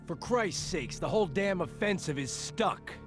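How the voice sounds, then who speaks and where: An actor playing a confident, decisive American colonel in a war video game, speaking bluntly. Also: male voice, high-pitched, expressive, normal speed. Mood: frustrated. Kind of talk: video game cutscene dialogue acting. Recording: music behind the dialogue.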